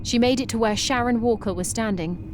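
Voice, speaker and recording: A woman reading a science-fiction story aloud in a steady, descriptive way. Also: a little high, fairly quick, noisy background. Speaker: narrator. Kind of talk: scripted story narration.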